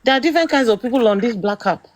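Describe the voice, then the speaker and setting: A middle-aged Nigerian woman hosting a talk, ranting forcefully and firing off rhetorical questions. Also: on the high side, animated, rapid-fire. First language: Yoruba. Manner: emphatic, rhetorical questions, rising to exclamations. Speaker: host. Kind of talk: opinionated commentary.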